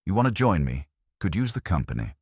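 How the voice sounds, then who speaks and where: A male narrator plays an easygoing male trucker from a spooky story. He is friendly and casual, with a very deep voice and lively, lilting intonation. The recording is dry. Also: fairly quick, breathy edge, no echo, heavily acted.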